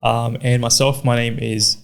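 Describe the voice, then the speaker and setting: A man's voice: medium pitch, little pitch movement, a little slow, creaky, smooth. A man in his twenties from Pakistan, whose first language is Urdu, hosting a casual podcast in an easygoing, encouraging way with frequent "yeah"s. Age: 20s. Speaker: podcast host.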